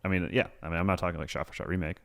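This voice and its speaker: Deep-voiced, easygoing man. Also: fairly even intonation, very fast, gravelly, a bit bright. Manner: easygoing, self-deprecating. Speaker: podcast co-host. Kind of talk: casual chat.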